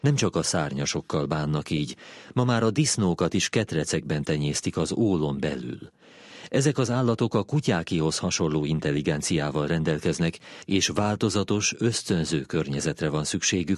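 Man, deep register, scripted narration, essay reading, dry close recording. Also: animated, dry recording. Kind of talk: scripted narration, read aloud.

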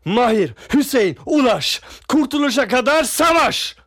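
Defiant young activist, high voice, very animated, sardonic to impassioned, radio drama, dry close sound. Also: male voice, a little slow, no echo, full character voice. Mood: impassioned, angry. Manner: impassioned, sardonic, swings from muttering to declaiming. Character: defiant young male leftist activist on trial. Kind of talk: radio drama monologue and retorts.

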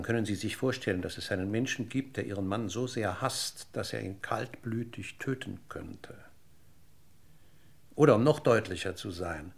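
An actor playing a seasoned police inspector in a radio crime drama, deliberate and insinuating, with ironic courtesy and a gravelly voice. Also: male voice, lowish voice.

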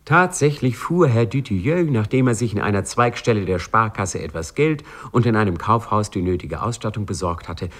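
An actor narrating a 1950s German radio play as an urbane storyteller, literary and confiding in manner. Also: male voice, deep voice.

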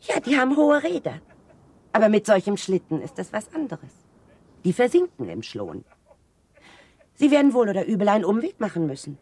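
A woman's voice: medium pitch, very animated, moderate pace, pauses often, a little mellow, slightly rough, no echo. An actress playing a knowing woman in a German radio drama, explaining things emphatically. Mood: matter-of-fact.

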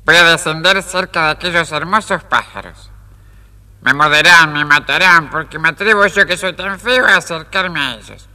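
A man's voice: fairly high pitch, normal speed, bright, forceful tone. A man playing a forlorn, self-pitying young ugly duckling in an audio storybook. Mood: forlorn, resolute. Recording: long reverberant hall sound.

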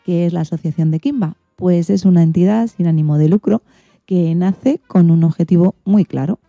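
Female co-host, very mellow with noticeable fry, chatty and informal, dry but slightly dull lo-fi sound. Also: lowish voice, no room sound. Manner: chatty, colloquial, addresses listeners directly. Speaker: radio show co-host. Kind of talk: casual informal radio presenting.

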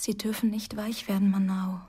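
A woman's voice: medium pitch, little pitch movement, moderate pace, background noise, close to an everyday voice. An actress playing a resolute, devoted young woman in a radio drama, speaking in a formal, restrained, earnest way. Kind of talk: German radio drama acting.

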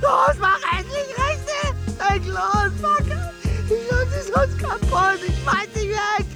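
An actor in a radio drama playing an enraged, tearful businessman, shouting and cursing at himself. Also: very high-pitched, takes it slowly, loud music behind the voice, full character voice. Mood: furious, tearful. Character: enraged, tearful businessman screaming at his own brain.

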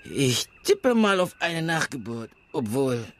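A blunt radio-play pathologist. He speaks slowly and deliberately in a middle-pitched voice, with highly animated intonation. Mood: dry, deliberate. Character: hesitant but blunt village-case pathologist. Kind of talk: radio drama acting.

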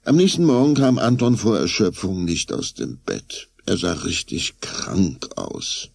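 A man's voice: deep voice, big pitch swings, unhurried, vocal fry, a little mellow, slightly rough, no room sound. A man narrating a scripted children's story as the narrator of a radio play.

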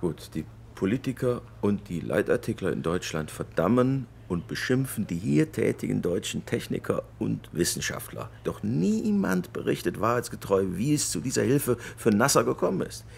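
An actor playing an impatient, grumbling German engineer in a radio drama, sullen and contemptuous, stiffly formal with others. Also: male voice, on the low side, sing-song, moderate pace, creaky, few pauses, slight background noise, full character voice. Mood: indignant. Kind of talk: naturalistic radio drama acting with muttered inner monologue.

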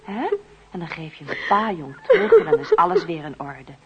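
A woman's voice: mid-range voice, huge pitch swings, a little fast. An actress playing a kind, motherly woman who soothes and reassures. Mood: soothing. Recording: dull lo-fi recording with crowd.

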